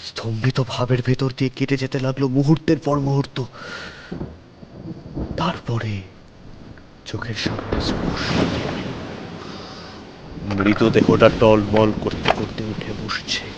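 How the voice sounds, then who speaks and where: A male narrator tells a first-person ghost story in a mid-pitched voice. His delivery is theatrical and suspenseful, broken by dramatic pauses. Background noise sits behind the words. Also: little pitch movement.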